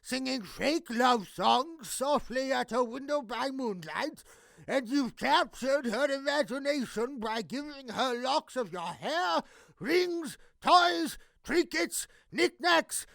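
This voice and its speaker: A man's voice: extremely high pitch, animated, slow pace, gravelly, fairly bright tone, no echo, exaggerated voice. A man playing an outraged, controlling noble father in an audio drama, declaiming his complaints indignantly. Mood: furious, accusing. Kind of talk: modernized Shakespeare audio drama acting.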